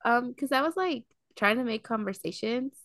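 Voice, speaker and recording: A woman's voice: on the high side, animated, moderate pace, fairly bright tone, no room sound, studio quality. A young woman co-hosting a casual podcast, telling stories between friends, full of "like" and "um," with a cold coming on. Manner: chatty storyteller, lots of "like" and "um". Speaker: podcast co-host.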